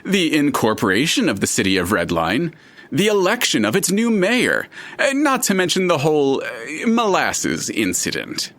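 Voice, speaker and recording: A man playing a chatty, curious, all-knowing narrator in an audio drama, with a dramatic and deliberate storytelling manner. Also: a little high, huge pitch swings, fairly bright tone, heavily acted. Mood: explanatory, playful. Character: chatty omniscient male narrator, theatrical and curious.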